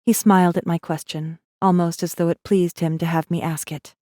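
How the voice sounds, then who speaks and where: A guarded rebel messenger, voiced by a woman, tells her story in a deep, dark female voice. The audiobook delivery is tense, measured and dramatic, with a very dry, close sound. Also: little pitch movement, dry recording, close to an everyday voice. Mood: probing, curious. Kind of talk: dramatic first-person audiobook narration.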